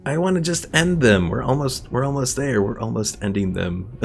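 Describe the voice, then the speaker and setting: A man's voice: mid-range voice, animated, normal speed, gravelly. A man doing casual live gameplay commentary, thinking aloud and explaining how things work. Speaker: video game streamer. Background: game music.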